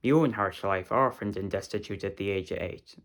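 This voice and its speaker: A man's voice: fairly low pitch, brisk, natural voice. A man voicing a poor eight-year-old Irish orphan girl in a plaintive, exaggerated comic stage voice. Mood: pitiful.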